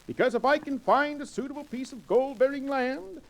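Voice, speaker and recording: An actor playing a pompous, grandiloquent sidekick in an old Western radio drama, speaking in florid, wordy phrases. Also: male voice, very high voice, normal speed, vocal fry. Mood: grand, self-important. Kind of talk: 1940s-1950s radio drama acting.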